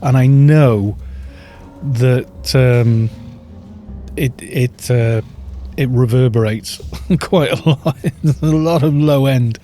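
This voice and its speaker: A man chatting casually on a game livestream, soft-spoken and rambling, with little chuckles, into a studio microphone at home. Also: medium pitch, takes it slowly, soft background music. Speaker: livestream gamer. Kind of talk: casual chat while gaming, reading chat.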